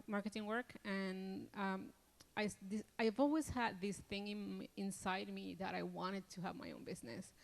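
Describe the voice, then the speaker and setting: A woman's voice: mid-range voice, gravelly. A middle-aged woman from Colombia, answering interview questions in a rambling way, with many restarts and fillers. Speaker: entrepreneur interview guest.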